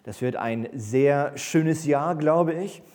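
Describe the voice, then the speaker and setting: A man's voice: a little high, slow, a little mellow. A man in his thirties, a church elder who grew up in Berlin, giving a sermon in a hesitant way with many fillers and restarts. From grew up in East Berlin, born in the USA. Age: 30s. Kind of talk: sermon with personal introduction. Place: church service.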